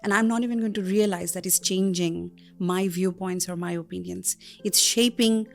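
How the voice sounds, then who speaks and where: Animated woman from Bangladesh explaining. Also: fairly low pitch, normal speed, creaky, faint music behind. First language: Bengali. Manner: animated, acts out quotes, explains with examples. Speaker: guest academic. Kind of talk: podcast interview.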